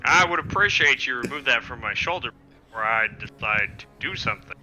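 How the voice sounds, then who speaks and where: A man's voice: mid-range voice, expressive, a little fast, pushed and bright, rough recording. A man chatting casually as a tabletop fantasy game player, hesitantly describing his moves. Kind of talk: casual roleplay chat.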